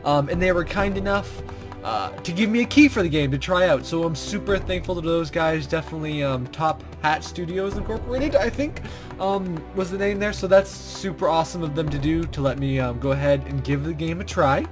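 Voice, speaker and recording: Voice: mid-range voice, a little fast, music in the background. A let's play host with a chatty, self-deprecating manner, commenting casually on a game and reading its text aloud.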